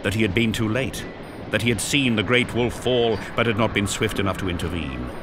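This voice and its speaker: A man's voice: lowish voice, fairly quick, background noise. A man narrating an audio drama in an intense, solemn, theatrical storytelling style. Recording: loud music and battle effects behind. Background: loud music, battle sound effects.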